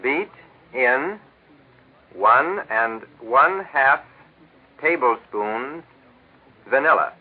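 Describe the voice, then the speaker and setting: A man's voice: mid-range voice, animated, very slow, long pauses, slightly rough. An American man with a jovial, eager, hammy manner, delivering folksy scripted sponsor patter as a 1940s radio announcer and co-host. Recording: tinny band-limited 1940s radio recording.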